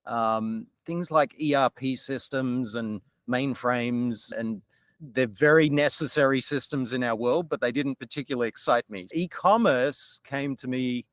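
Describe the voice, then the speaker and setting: A middle-aged Australian man, a podcast guest, talking in a measured, articulate way about his career. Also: mid-range voice, a bit bright, no echo. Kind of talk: podcast interview.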